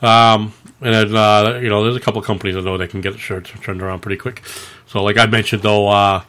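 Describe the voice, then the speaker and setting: A man's voice: fairly low pitch, steady tone, fairly quick, sharp tone. A man co-hosting a podcast in casual, rambling, informal conversation. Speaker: podcast co-host.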